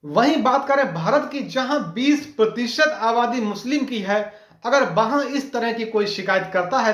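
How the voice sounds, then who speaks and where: A man's voice: high-pitched, a bit bright, reverberant. A man presenting a scripted news explainer as a narrator, addressing viewers directly.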